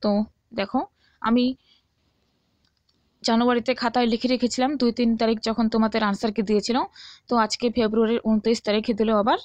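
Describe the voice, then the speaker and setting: A woman's voice: fairly high pitch, flat delivery, fast talker, long pauses, darkish tone, no room sound, lo-fi sound. A woman teaching as a tutor, reading out exam questions and answers and often checking that listeners follow.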